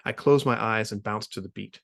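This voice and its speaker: A man's voice: lowish voice, a little fast, vocal fry, fairly bright tone. A man introducing and reading his own short story as its author, in the style of a literary reading. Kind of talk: informal spoken introduction, then literary reading. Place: remote video reading series.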